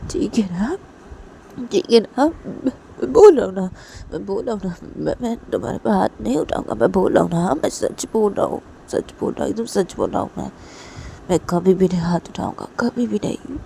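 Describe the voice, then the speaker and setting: Audio story role: apologetic, tender lover played by a woman, mellow and creaky, softly insistent, repetitive reassurance. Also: medium pitch, animated, brisk, a little hiss or hum. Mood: remorseful. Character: remorseful, tender lover soothing and promising never again.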